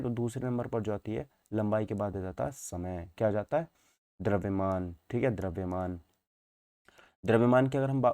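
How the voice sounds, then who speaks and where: Bright-voiced man teaching. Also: lowish voice, no room sound, clean studio sound. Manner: repetitive, asks and answers own questions, frequent check-in tags. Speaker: physics teacher. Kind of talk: informal online exam-prep lecture in Hindi mixed with English terms.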